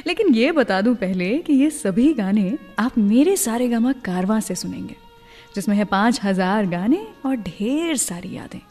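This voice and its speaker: A woman's voice: medium pitch, darkish tone, big-room sound. A woman hosting a radio show, telling anecdotes in a chatty, confiding way and slipping English words into her talk. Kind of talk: scripted radio storytelling.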